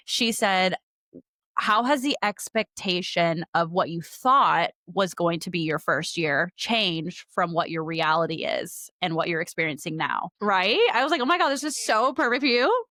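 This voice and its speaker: A woman hosting a casual podcast, talking in an excitable, informal, girl-talk way peppered with "like". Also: fairly low pitch, moderate pace, dry recording, studio quality. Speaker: podcast host. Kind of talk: casual chatty phone-call podcast.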